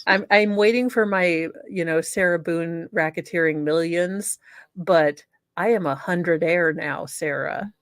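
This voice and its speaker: A woman's voice: fairly low pitch, measured pace, no echo. A woman hosting a comedy show, mixing theatrical, sarcastic dramatic reading with casual commentary. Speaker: show host.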